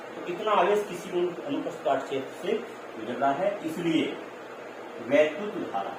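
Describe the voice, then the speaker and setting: A man's voice: fairly high pitch, animated, moderate pace. A man teaching physics in an explanatory, repetitive way, checking in as he goes and mixing in English terms. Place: echoey room. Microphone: distant microphone. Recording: very echoey, distant mic, clear noise.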